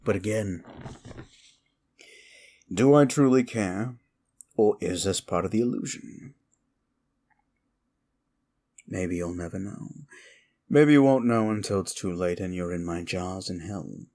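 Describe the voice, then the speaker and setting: A man's voice: sits low, unhurried, pauses often, darkish tone. A man playing a sly, evil demon who taunts and manipulates in an intimate ASMR roleplay, teasing and condescending. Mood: teasing, smug.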